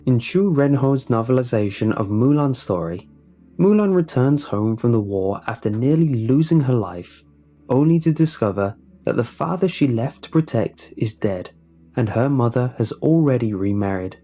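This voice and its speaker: A male narrator with a dark, relaxed voice of average pitch reads a script in documentary style. His delivery is measured and polished, and music plays behind him. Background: background music.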